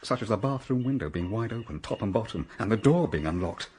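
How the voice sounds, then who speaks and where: An actor playing a blunt, excitable young upper-class English gentleman in a period radio drama, given to exclamations. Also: lowish voice, quick pace, close to an everyday voice. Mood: bewildered. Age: young adult.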